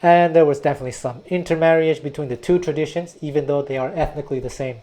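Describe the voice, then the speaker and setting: A man's voice: fairly high pitch, fast, warm tone. A man from Quebec whose first language is Taiwanese, giving a thoughtful, digressive educational history talk. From Quebec, Canada. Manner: thoughtful, digressive, often restarts words. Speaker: music teacher and video host.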